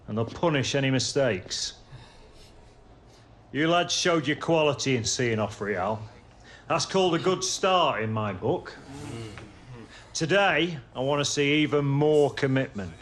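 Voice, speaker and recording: A man's voice: on the high side, animated, takes it slowly, long pauses, a bit bright. An actor playing a driven, demanding male football coach giving motivational team talks in a video game. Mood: firm, motivating. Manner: motivational, exhorting. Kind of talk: video game cutscene acting, team talk.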